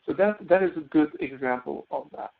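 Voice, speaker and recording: Male instructor, creaky mid-pitched voice, step-by-step tutorial delivery, thin telephone-quality sound. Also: lively intonation, a little fast. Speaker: course instructor. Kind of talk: live spoken software tutorial. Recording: narrow phone-like audio.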